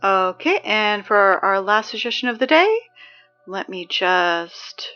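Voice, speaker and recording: A woman's voice: mid-range voice, animated, slow pace, pushed and bright, muffled recording, soft background music. A woman playing a dry, sarcastic teenage girl who reads the school announcements in an audio drama. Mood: chatty.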